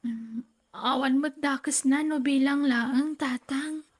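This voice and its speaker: A woman's voice: high voice, steady tone. A woman acting a hesitant young daughter and sister in an Ilocano radio drama. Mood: careful. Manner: hesitant, halting.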